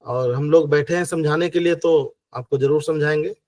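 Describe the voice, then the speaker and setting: A man with a high voice teaches over a video call, slowing down to count points step by step. His throat is sore and he fights a cough. The sound is dry and close. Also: fairly even intonation, darkish tone, no room sound. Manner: patient, counts numbers step by step. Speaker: acupressure teacher. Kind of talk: online class explanation.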